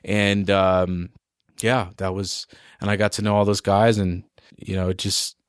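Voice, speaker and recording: A man's voice: on the low side, little pitch movement, a little slow, clear, resonant tone, no echo. Young male musician guest, loose rambly chat, dry close mic. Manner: rambling, fillers like "you know" and "man". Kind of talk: casual interview chat.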